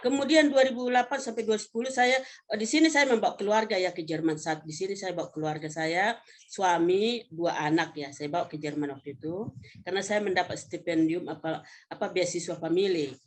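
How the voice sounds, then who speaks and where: A woman in her fifties from North Sumatra, Indonesia, presenting slides informally as a professor, in a conversational, halting way with self-corrections. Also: fairly low pitch. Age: 50s. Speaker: vocational education professor presenting a webinar.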